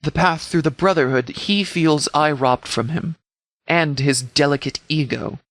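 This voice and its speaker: A man playing a commanding sheriff in a video game, speaking formally and deliberately as he briefs subordinates. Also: fairly high pitch, fairly bright tone, no echo. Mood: grave, strategic. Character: commanding, measured sheriff leading an armed department.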